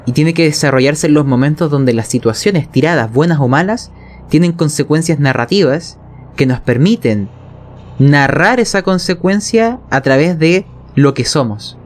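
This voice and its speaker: A man's voice: on the high side, animated, normal speed. A man with a Chilean accent explaining narration technique as a game master, colloquially, often checking in with his listeners. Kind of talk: explanatory conversation on tabletop role-playing narration.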